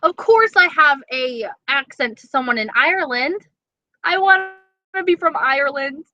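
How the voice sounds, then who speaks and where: A woman's voice: sits high, lively intonation, normal speed, bright, forceful tone, lo-fi sound. A young woman with an East Coast accent chatting casually on a live stream, reading viewer comments and swearing freely. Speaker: beauty vlogger.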